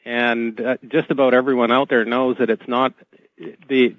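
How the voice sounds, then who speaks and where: A man as a radio guest, explaining things haltingly and often repeating words. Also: medium pitch, dry recording. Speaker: talk show guest. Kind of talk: explanatory radio interview talk. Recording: dull, lo-fi dry radio feed.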